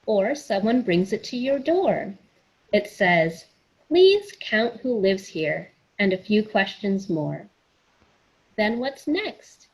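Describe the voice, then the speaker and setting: Female children's storyteller, unhurried and animated, slightly breathy or husky tone, speaking directly to kids. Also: medium pitch, rough recording. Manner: sing-song, encouraging, addresses children directly. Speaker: children's story time host reading aloud. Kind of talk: picture book read-aloud for children.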